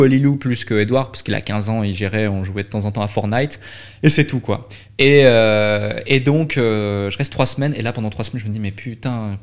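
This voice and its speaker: Young man, from Switzerland, chatty, filler-heavy, studio podcast mic, mid pitch. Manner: chatty, many fillers and restarts. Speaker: podcast guest, entrepreneur. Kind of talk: candid storytelling interview. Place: dark podcast studio with red light. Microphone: studio mic on boom arm, headphones.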